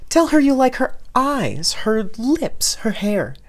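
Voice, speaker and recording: Anxious, doting mother of a teen in an audio story, played by the narrator: high, excitable, dramatic delivery. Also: slow. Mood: eager encouragement. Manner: excitable, dramatic, gushing advice. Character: anxious, loving, overeager mother of a teenage boy.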